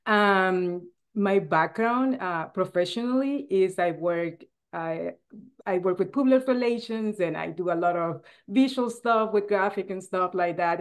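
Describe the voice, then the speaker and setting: Soft-spoken woman on a video call. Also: on the low side. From El Salvador. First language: Spanish. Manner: hesitant, many fillers and restarts. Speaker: guest. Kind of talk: casual video-call interview. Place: bright room at home.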